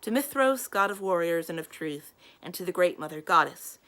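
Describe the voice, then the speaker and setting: A woman's voice: on the low side, animated, moderate pace, fairly bright tone. A woman voicing a stern, traditional lord in a storybook, formally intoning in a declamatory manner. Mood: solemn. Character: solemn, old-fashioned lord leading a prayer.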